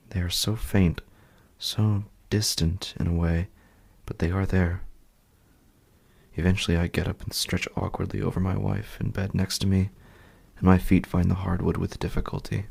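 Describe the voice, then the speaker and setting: Male actor as uneasy sleepless husband, very deep, heavy fry, hushed, halting, deliberate, first-person horror podcast narration. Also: full character voice. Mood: groggy, wary. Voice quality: gravelly, husky. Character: uneasy, sleepless husband haunted by faint night sounds.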